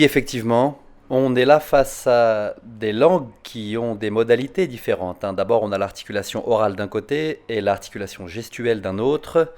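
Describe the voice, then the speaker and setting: A man speaks at an online conference in an ordinary mid-range voice. He talks in a halting, thoughtful way, repeating words as he searches for them and tagging sentences with little verbal tics. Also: medium pitch, a little mellow. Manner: hesitant, repeats words, frequent "hein". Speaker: psychoanalyst answering conference questions.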